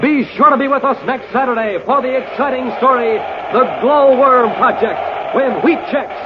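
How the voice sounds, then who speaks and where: High, punchy 1950s radio announcer man. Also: moderate pace, crowd noise. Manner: punchy, excited, emphatic. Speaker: announcer and pitchman. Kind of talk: 1950s radio announcing, scripted hard sell. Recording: dull, faintly noisy 1950s radio recording.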